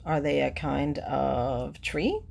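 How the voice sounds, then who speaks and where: Woman, children's read-aloud presenter: low, gravelly voice, explaining simply and asking questions. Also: slow pace, darkish tone. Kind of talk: picture-book read-aloud with teacherly asides to children.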